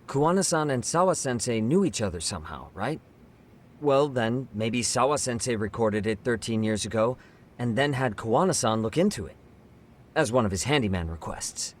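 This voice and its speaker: A man's voice: medium pitch, lively intonation, normal speed, a little hiss or hum, lightly acted. Casual, inquisitive young male investigator played by an actor. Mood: speculative. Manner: informal, questioning. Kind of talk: English video game dub cutscene acting.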